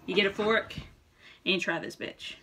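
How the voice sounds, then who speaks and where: A woman's voice: medium pitch, steady tone, a little slow, slightly rough. A young woman in her home kitchen giving a mock cooking tutorial to a camera in front of her, swearing constantly in a brash, joking way. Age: young adult. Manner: brash, profane, mock-instructional. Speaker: vlogger. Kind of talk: comedic cooking vlog. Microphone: camera in front at table height. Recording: music playing loudly behind.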